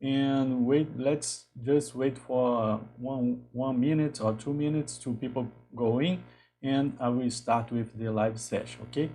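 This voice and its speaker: A man in his thirties from Brazil with a Brazilian Portuguese accent, talking casually on a live stream in a halting way with frequent restarts. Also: medium pitch, steady tone, dark tone, a little hiss or hum, poor audio. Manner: halting, restarts often, greets viewers. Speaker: engineer hosting a live stream.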